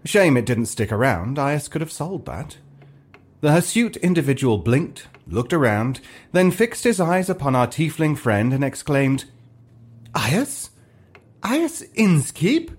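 Expressive male storyteller with dry delivery. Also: mid-range voice. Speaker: story narrator. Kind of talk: scripted comic fantasy narration.

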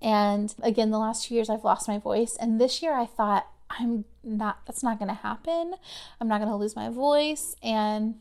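Woman in her thirties, podcast host, casual filler-laden delivery, slightly higher voice, a touch worn from overuse. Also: normal speed, darkish tone. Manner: chatty, conversational, many fillers.

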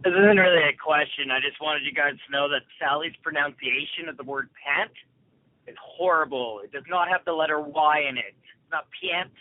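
A man's voice: fairly high pitch, normal speed. A man leaving a snarky, nitpicking voicemail for a podcast. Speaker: voicemail caller. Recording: tinny voicemail over phone line.

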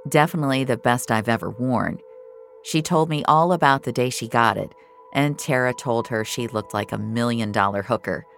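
A cold, gloating killer telling their own story in an audio thriller. The voice is extremely low with a gravelly creak, measured and menacing. A faint musical score runs underneath. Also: female voice, soft background music. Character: cold, gloating serial killer narrating the hunt. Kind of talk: first-person audio fiction narration. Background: dramatic score.

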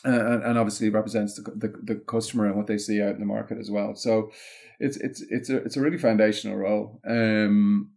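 Male interview guest, Irish accent, lower register, conversational, thinking aloud in halting, discursive explanations. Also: level tone, creaky, darkish tone. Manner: discursive, halting explanations peppered with "like" and "you know". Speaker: interview guest, content marketing consultant.

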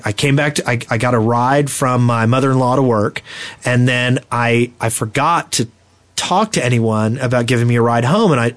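Casting: young male podcast host, mid-pitched, chatty and animated, casual storyteller. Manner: animated, acts out quoted exchanges.

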